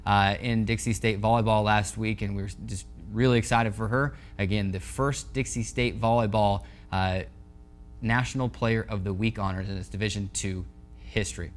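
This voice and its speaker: A man's voice: fairly low pitch, steady tone, normal speed, faint music behind. A young man with an American accent, hosting and presenting sports news from a studio desk. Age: young adult. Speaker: sports show host.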